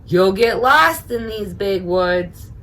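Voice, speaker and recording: Woman acting a high-pitched, concerned storybook mother. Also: animated, takes it slowly, a bit bright. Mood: calling, warning. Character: mother of a family on a camping trip, concerned, encouraging. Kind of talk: children's storybook read-aloud. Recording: noticeable background noise.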